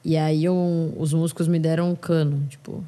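A woman's voice: low-pitched, flat delivery, moderate pace, warm tone. A woman from São Paulo, a singer and podcast guest, chatting frankly and expressively in a casual interview. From São Paulo, Brazil.

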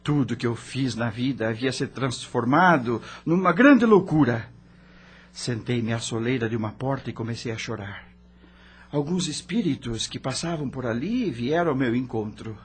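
A man's voice: medium pitch, animated, moderate pace, husky. A Brazilian man acting as the frightened, lost ghost of a rock star, narrating a radio drama in a dramatic, emotional way. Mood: anguished, haunted. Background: background music.

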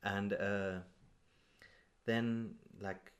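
A man hosting a podcast, chatting informally and musing out loud, with some swearing. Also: lowish voice, little pitch movement, very slow. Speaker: podcast host. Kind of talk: casual podcast conversation.

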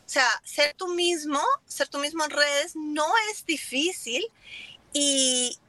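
A woman with a high, crisp-edged voice speaks in a casual interview, recorded dry and close. She grew up with Italian and English, and her Spanish carries a foreign accent. She tells things in an animated way, dropping in English words. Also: takes it slowly, no echo, rough recording. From Italy and Germany. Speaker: interview guest, business owner.

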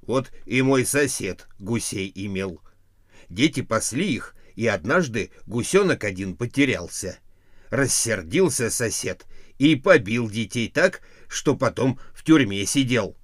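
A man's voice: mid-range voice, lively intonation, measured pace, dry recording, clean studio sound. A man acting a meddling, persuasive village trader. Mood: warning. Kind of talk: folk tale character voice.

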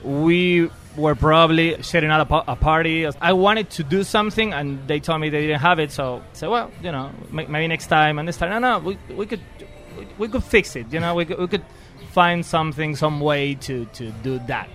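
A man's voice: a little high, fairly quick, few pauses, a bit bright, faint music behind. A man from Spain with a Spanish accent, a guest on the podcast, speaking hesitantly and repeating his words. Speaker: guest contributor.

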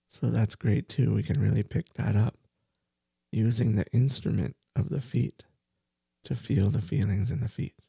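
A man who is a meditation teacher, leading a guided body scan for sleep with slow, soft, soothing phrasing that repeats itself. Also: on the low side, creaky, husky, no echo.

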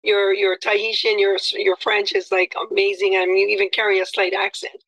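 A woman's voice: medium pitch, flat delivery, moderate pace, gravelly, fairly bright tone, poor audio. A woman hosting an interview, effusive and complimentary, with many fillers. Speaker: interview host. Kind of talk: friendly interview chat.

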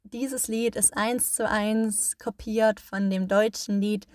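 A podcast host woman talking slowly in a dry, close recording, her voice sitting at an ordinary middle pitch. She explains things patiently and casually, rephrasing herself often with little fillers. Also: fairly even intonation, darkish tone, dry recording.

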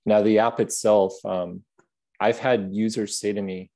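A man with a soothing, gentle voice, talking thoughtfully as a guest in a podcast interview. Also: fairly low pitch, fairly even intonation, measured pace. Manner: gentle, soft-spoken, thoughtful. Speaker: app creator, podcast guest. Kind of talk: reflective interview conversation.

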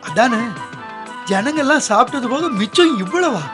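A man's voice: very high-pitched, moderate pace. A man playing eager, talkative disciple Peter. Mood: amazed. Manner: lively, colloquial and eager. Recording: radio drama with soft music underneath.

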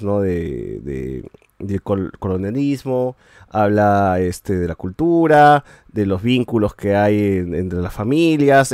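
A man's voice: medium pitch, darkish tone, no echo, studio quality. A man, hosting a podcast and reviewing shows in casual chat, rambling with many restarts and a habit of ending sentences with a questioning tag.

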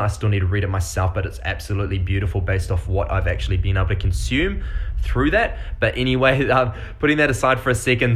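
A man's voice: lowish voice, a bit bright. A young man with a New Zealand accent hosting his own podcast, talking casually and enthusiastically, rambling with many fillers and restarts. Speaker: podcast host. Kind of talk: casual solo podcast talk.

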